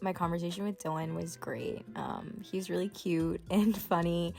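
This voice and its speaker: Low creaky young woman over music. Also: steady tone, normal speed, dark tone. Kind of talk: casual vlog commentary.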